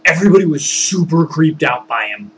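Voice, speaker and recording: A man telling a story casually, rambling and halting as he searches for words and digresses. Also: a little high, expressive, fairly bright tone, a little hiss or hum. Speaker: storyteller, former tabletop game master.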